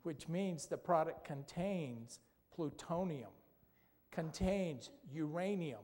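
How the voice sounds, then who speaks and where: Male lecturer, higher-pitched, chatty Q&A style, church hall with long reverb. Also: animated, slow, a little mellow. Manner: conversational, asks the audience questions, tag "okay?". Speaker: doctor giving a health talk. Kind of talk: health lecture with audience questions.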